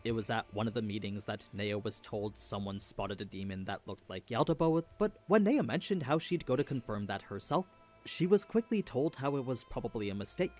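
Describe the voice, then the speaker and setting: A man's voice: fairly low pitch, lively intonation, fairly quick, darkish tone, faint music behind. A man delivering a scripted story recap as a narrator.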